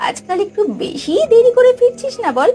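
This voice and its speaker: A woman's voice: very high-pitched, animated, fairly quick, warm tone, faint music behind. A woman voicing a burdened, caring elder sister in a village cartoon, emotional in a pleading and scolding way. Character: burdened, caring eldest sister running a poor village household. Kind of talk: melodramatic cartoon voice acting.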